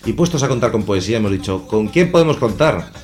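A man chats in a radio studio as a guest musician. He speaks in a lively, emphatic way. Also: on the low side, fast, faint music behind. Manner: lively, emphatic, laughs easily. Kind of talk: casual radio interview.

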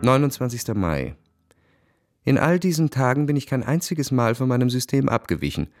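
An actor playing a suave, cynical, bored young aristocrat in a radio drama, narrating and speaking with smooth, ironic detachment. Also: male voice, medium pitch, sing-song, normal speed, faint music behind. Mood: wry, detached.

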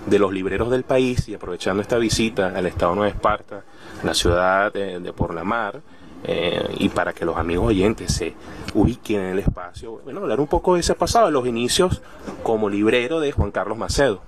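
A man's voice: lowish voice, expressive, a little fast, a crowd in the background. A man with a Venezuelan accent speaking as a radio host in a conversational interview.

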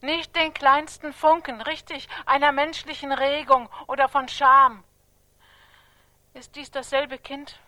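An actress playing a stern, accusing chronicler in a stylized radio drama, reciting in measured, emphatic declarations. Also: female voice, high-pitched, flat delivery, bright, forceful tone, no room sound, exaggerated voice. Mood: bitter irony. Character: stern, accusing female chronicler of a terrorist's life.

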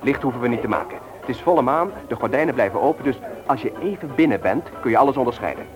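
An actor playing a cocksure, bossy young ringleader in a radio play, instructing with firm emphasis. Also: male voice, mid-range voice, expressive, fast, music in the background, close to an everyday voice. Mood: confident, instructing.

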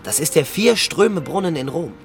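A man's voice: a little high, lively intonation, moderate pace, music in the background. An actor playing an earnest, helpful young man in a German radio drama, casual and eager to explain. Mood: eagerly explaining.

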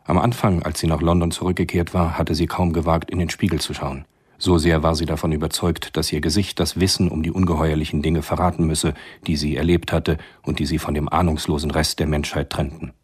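The narrator of a radio thriller. His voice is low and husky. He reads the scripted story soberly. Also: level tone, brisk.

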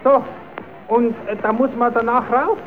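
An actor playing a naive, cheeky, quarrelsome puppet-show rascal with a southern German accent in an old radio play. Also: male voice, very high-pitched, steady tone, normal speed. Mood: naive curious. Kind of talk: old radio play, comic dialect acting. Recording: tinny, narrow-band old radio recording.

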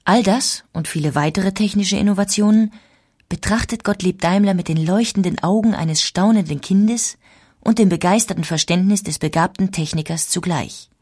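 A woman narrating a historical audiobook in a measured, formal way. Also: mid-range voice, darkish tone, husky. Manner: measured, formal documentary delivery.

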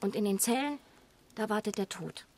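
An actress playing a compassionate, earnest woman in a naturalistic radio drama, speaking haltingly and repeating words with emotional involvement. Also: medium pitch, lively intonation, a little mellow, a little hiss or hum, natural voice. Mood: earnest, troubled. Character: compassionate, earnest woman pleading for a sheltered stranger.

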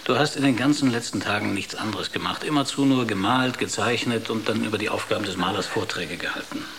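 A man's voice: on the low side, brisk, vocal fry, crowd noise. A man playing an insistent spaceship crewmate in a German sci-fi radio drama, explaining in a plain-spoken way.

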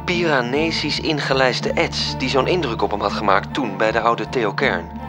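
A man's voice: mid-range voice, steady tone, normal speed, natural voice. A man playing a composed, solemn storyteller in a literary radio drama, narrating. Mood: suspenseful. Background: music.

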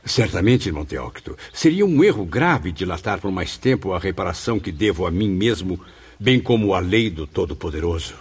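A man's voice: sits low, sing-song, brisk, slightly rough, full character voice. An actor playing a humble, remorseful male spirit in a Brazilian radio drama, speaking formally and earnestly with a plaintive Brazilian delivery. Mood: earnest resolve. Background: music.

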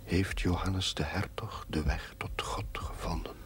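Low, whispering, wistful man. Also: steady tone, measured pace. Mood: wistful, brooding. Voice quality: breathy, half-whispered. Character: pensive man looking back, wistful and doubting. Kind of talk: reflective narration in television drama. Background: music.

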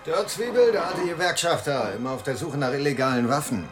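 An actor playing a jovial, smooth-talking man of the 1920s Berlin pubs in a radio play, breezy and familiar. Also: a little high, expressive, a little fast, over loud music, lightly acted. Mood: amused. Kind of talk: German radio play acting.

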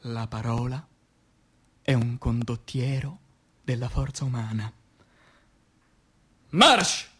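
A man, an actor, reciting poetry in a declamatory, impassioned theatrical style that swings between hushed and loud. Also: mid-range voice, animated, slow, pauses often, slightly rough. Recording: music under the voice. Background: music.

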